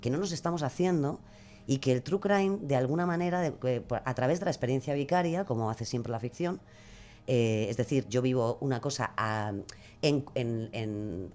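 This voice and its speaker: A woman's voice: very deep voice, moderate pace, a little mellow. A woman musing hesitantly and philosophically as a podcast guest. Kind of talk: conversational interview answers.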